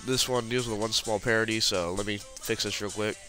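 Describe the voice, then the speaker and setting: Guy with the flu, kinda stuffy, lowish voice but bright and sharp, faint music behind. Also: fairly even intonation, a little fast. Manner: casual, walks through steps while clicking. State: has the flu, congested and sniffling. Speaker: puzzle tutorial maker. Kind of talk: screen-recorded puzzle tutorial.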